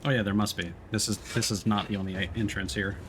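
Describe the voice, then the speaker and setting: A man's voice: fairly low pitch, steady tone, fast talker, background noise. A man doing casual live game commentary, thinking aloud and talking playfully to himself and the game. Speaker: streamer.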